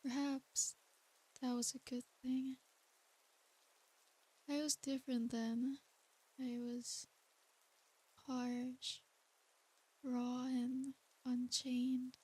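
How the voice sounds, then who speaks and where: A woman playing an ancient, tender and seductive succubus demon in a soft ASMR bedtime roleplay. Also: high-pitched, flat delivery, heavily acted, low-quality recording. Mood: reflective, gentle. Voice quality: soft, breathy. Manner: slow, intimate, soothing bedtime delivery.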